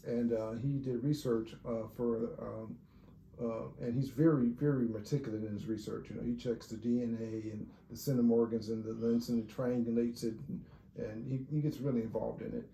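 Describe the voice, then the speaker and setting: A man with a mellow, warm voice talks haltingly about family history, full of pauses and restarts. The room around him sounds echoey. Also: mid-range voice, flat delivery, gravelly, a little hiss or hum. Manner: halting, many fillers and restarts while recounting genealogy. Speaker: relative talking about family research. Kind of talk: casual conversation.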